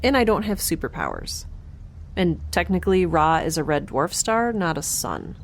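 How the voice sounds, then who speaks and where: A woman's voice: on the low side, wildly swooping pitch, moderate pace, a little hiss or hum. A woman playing a wry, stubborn young scientist in a space audio drama, with a dry, sardonic and precise way of talking. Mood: dry, questioning. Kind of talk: audio drama acting, first-person log narration.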